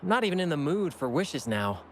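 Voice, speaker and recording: A young male student with a high, very animated voice. He sounds frustrated and idealistic in game-dialogue style, over faint ambient noise. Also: a little fast. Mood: disappointed, indignant. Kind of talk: video game voice acting.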